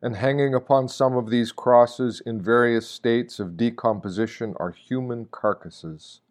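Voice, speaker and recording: A man reading a scripted, reflective meditation with measured, deliberate phrasing and pauses. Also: mid-range voice, fairly even intonation. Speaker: reader of meditations.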